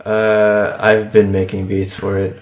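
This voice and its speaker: Laid-back young man, low voice, laughing. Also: completely flat delivery. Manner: laughs often, quick and rambling. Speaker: podcast guest, musician. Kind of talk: casual chat.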